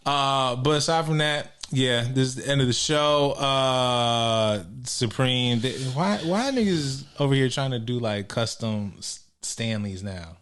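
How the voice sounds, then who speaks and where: A man from Maryland co-hosting a casual podcast, talking in a laid-back way that often drops into low, quiet asides. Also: a little slow, hardly any pauses, clear, resonant tone. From Maryland, United States. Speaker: podcast co-host. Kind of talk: casual chat.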